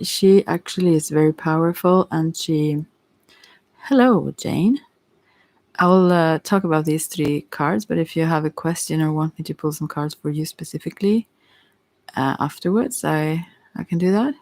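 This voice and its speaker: A woman hosts a soft-spoken tarot session in an ASMR manner. Her voice is noticeably creaky and sometimes whispery. She rambles in a relaxed, hesitant way, drifting into asides. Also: deep voice, no room sound. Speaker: host, tarot reader.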